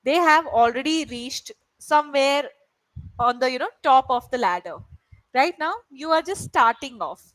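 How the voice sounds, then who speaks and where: A woman's voice: high-pitched, animated, moderate pace, a bit bright, dry recording, poor audio. A woman whose first language is Malayalam, tutoring English over a video call and checking in often with her student. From India. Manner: encouraging, checks in with "right?" often. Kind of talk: online tutoring session.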